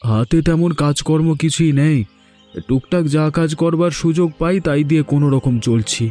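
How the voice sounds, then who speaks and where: A man's voice: a little high, moderate pace, mellow, soft background music, pitched above the performer's own voice, lightly acted. A man voicing a poor, humble middle-aged farmer in a cartoon, speaking deferentially and hesitantly. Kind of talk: Bengali cartoon dubbing.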